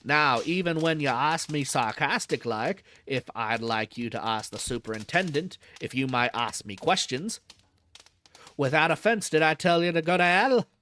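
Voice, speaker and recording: A man reading aloud and voicing a gruff old male zookeeper, grumbling in a rough dialect with dropped h's. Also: a little high, normal speed, pushed and bright.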